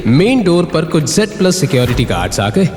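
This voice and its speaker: A man's voice: fairly high pitch, sing-song, fairly quick, a little mellow. A man narrating a scripted drama story with suspenseful, emphatic delivery. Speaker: narrator of an audio drama series. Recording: roomy long reverb, music bed.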